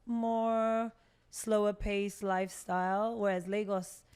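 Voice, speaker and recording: A woman's voice: medium pitch, takes it slowly. A woman from the United Kingdom, a skincare entrepreneur, talking animatedly as a guest in a casual interview. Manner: animated and talkative, storytelling.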